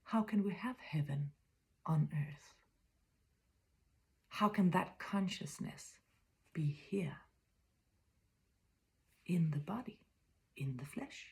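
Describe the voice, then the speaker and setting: A woman in her fifties from Denmark with a Danish accent, speaking in a reflective spiritual monologue that is soft, often whispery, and halting as she searches for words. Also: fairly low pitch, expressive, gravelly. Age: 50s.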